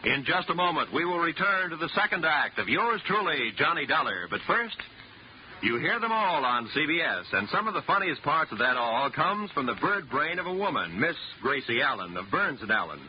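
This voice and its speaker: A man's voice: on the high side, big pitch swings, moderate pace. A man announcing on 1950s network radio, reading a scripted promo and program continuity. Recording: muffled, faintly noisy vintage radio recording.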